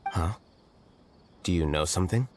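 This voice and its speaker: An actor playing a composed, analytical young scholar in a game dub, measured and matter-of-fact. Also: male voice, deep voice, darkish tone, soft background music, close to an everyday voice. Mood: cool, questioning. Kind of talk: English video game dub.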